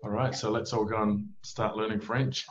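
A middle-aged man with a lowish voice hosts the interview from his office, in a measured way with careful follow-up questions. The webcam sound is dull and lo-fi, with a faint hiss underneath. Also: fairly even intonation. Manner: measured, asks follow-up questions, fills in with short nods. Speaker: interview host, immigration specialist. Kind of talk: expert interview over video call. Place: office indoors. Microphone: video call webcam. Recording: dull lo-fi call audio with faint noise.

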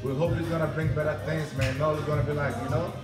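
A man's voice: fairly high pitch, steady tone, fast talker. A young man hyping his friend in a busy barbershop, filling every line with "man" and "of course". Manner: repeats "man" and "of course", hype-man patter. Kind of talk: casual hype talk. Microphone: friend's phone at arm's length. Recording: roomy shop with loud music.

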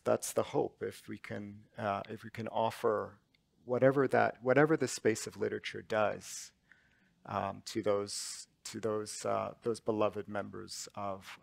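A man in his fifties, an author on a stage panel, speaking haltingly with many restarts as he searches for words. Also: on the low side, creaky, dry recording. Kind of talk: reflective panel talk. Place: large auditorium stage.

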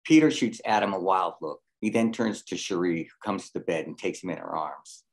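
Deep-voiced man narrating a screenplay. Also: fairly quick. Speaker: narrator reading stage directions.

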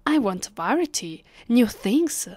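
Woman acting haughty vampire lady w italian accent, asmr roleplay, soft n whispery, super slow, close dry mic, bossy. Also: on the high side, sing-song, dry recording, full character voice. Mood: impatient, commanding. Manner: condescending, commanding, unhurried. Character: haughty, teasing ancient female vampire mistress. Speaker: voice actress. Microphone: close, dry microphone.

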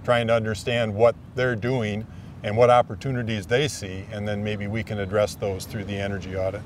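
A man's voice: on the low side, flat delivery, a little fast, recorded from a distance, slight background noise. A man working as an energy auditor, explaining his work in an interview.

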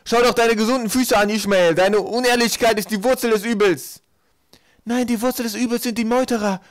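A man voicing a quarrelsome, accusing islander in an agitated, shouting manner. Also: extremely high pitch, steady tone, brisk, no room sound, studio quality, pitched above the performer's own voice.

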